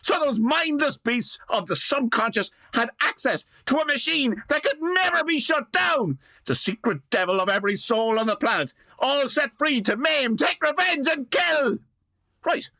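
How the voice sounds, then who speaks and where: Woman as a bright, animated, brisk explainer. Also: high-pitched, dry recording, full character voice. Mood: businesslike. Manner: dramatic recitation, brisk. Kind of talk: radio comedy acting.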